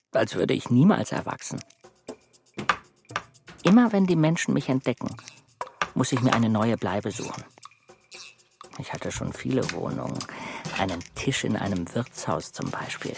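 An actor narrating a children's radio play as a wry, childlike woodworm, telling the tale expressively. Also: male voice, mid-range voice, creaky. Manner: unhurried, expressive storytelling.

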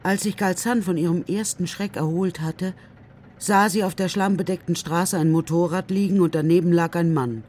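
A woman's voice: lowish voice, steady tone, normal speed, a little mellow, a little hiss or hum. A woman narrating a children's radio play in a scripted literary storytelling style.

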